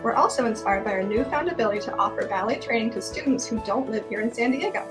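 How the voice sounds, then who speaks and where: A woman reads a prepared address at a fast clip, her voice a little high. Loud music plays beneath her as she speaks as an artistic director. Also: animated. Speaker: ballet school artistic director. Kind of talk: scripted address to viewers.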